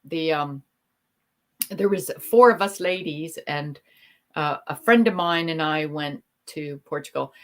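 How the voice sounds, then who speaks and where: Woman, middle-aged, low-ish voice, rambling livestream host with lots of ums. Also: measured pace. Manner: chatty, rambling storyteller with many ums. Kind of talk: casual chat on a livestream.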